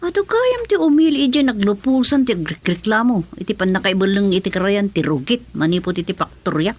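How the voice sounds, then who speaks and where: A woman's voice: mid-range voice, sing-song, fairly quick, darkish tone. A Filipino woman playing a chatty, deferential aide in a radio drama, with a playful manner. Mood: gossipy.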